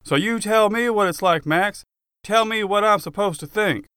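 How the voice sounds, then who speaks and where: Guy doing a fed up cop buddy for an audiobook, higher voice, tense and pushy, really confrontational. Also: normal speed, pushed and bright, smooth, no room sound, studio quality. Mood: furious. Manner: emphatic, demanding, profane. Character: furious, exasperated male cop friend, bluntly confrontational.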